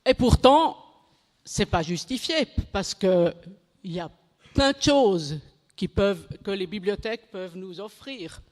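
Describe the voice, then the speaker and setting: A woman gives a measured, unhurried conference talk in French, her second language. She stumbles now and then, restarts and corrects her words. Her voice carries a long reverb from the hall around her. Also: mid-range voice, animated, darkish tone. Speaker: conference speaker.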